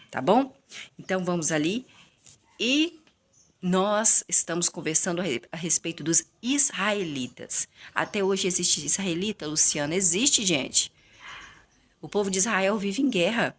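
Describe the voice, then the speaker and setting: A woman's voice: lowish voice, a bit bright, slight background noise. A Brazilian woman teaching the Bible informally, speaking straight to viewers, repeating herself and answering her own questions. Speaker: Bible teacher hosting a recorded reading.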